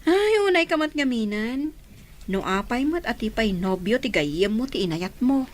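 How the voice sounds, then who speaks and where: A woman's voice: a little high, expressive, normal speed, lightly acted. A woman playing a teasing, blunt older sister. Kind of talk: radio drama acting.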